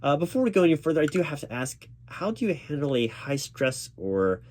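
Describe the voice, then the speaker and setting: A man playing a job interviewer in a spoken ASMR roleplay, talking in a reassuring, halting way with repeated words. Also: on the high side. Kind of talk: ASMR roleplay, spoken aloud rather than whispered. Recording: steady keyboard typing noise behind voice. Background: computer keyboard typing.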